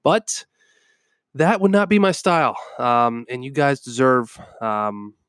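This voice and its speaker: A man's voice: mid-range voice, slow pace, a bit bright, smooth, dry recording, clean studio sound. A young adult man with a stuffed-up cold, hosting a podcast and telling a personal story in a rambling way with many ums. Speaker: podcast host. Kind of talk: casual personal storytelling monologue.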